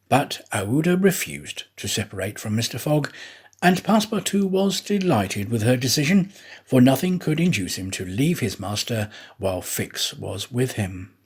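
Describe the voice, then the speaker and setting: Husky, expressive male storyteller. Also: medium pitch. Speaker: audiobook narrator, actor. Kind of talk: scripted story narration.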